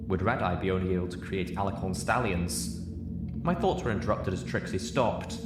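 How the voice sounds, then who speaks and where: A man's voice: deep voice, moderate pace, loud music behind the voice. A man reading a story aloud as a scripted narrator. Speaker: narrator, audiobook reader. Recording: echoey room, audible background noise.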